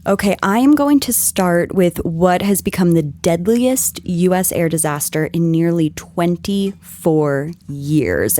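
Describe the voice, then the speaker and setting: A woman hosting a podcast, her voice scratchy and strained from yelling, explaining things in an earnest, scripted way. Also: low-pitched, dry recording. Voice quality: scratchy, husky. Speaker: podcast host.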